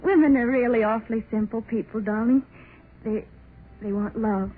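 A woman's voice: on the high side, moderate pace, husky. A young woman playing an adoring, emotional bride in a sentimental, breathless 1930s radio drama style. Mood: tender. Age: young adult. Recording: thin, muffled 1930s radio recording.

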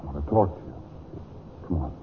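An actor playing a stern, protective older brother in a mid-century radio drama, with an American accent and a blunt, deliberate, pressing manner. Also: male voice, close to an everyday voice. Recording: noisy, narrow-band old radio recording.

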